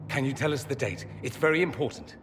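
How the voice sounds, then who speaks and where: A man's voice: mid-range voice, fast, natural voice. A man playing an urbane, sardonic mage in a fantasy game, wry and articulate. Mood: alarmed. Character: urbane, sardonic male mage, quick-witted and theatrical. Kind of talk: dramatic fantasy game dialogue. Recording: reverberant hall, noisy game ambience.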